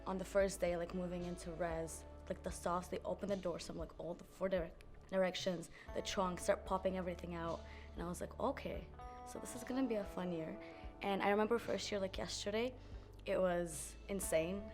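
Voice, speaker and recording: A female student telling stories casually at a roundtable, excitable and full of "like". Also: on the low side, a little fast, faint music behind. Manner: excitable storytelling, many "like"s.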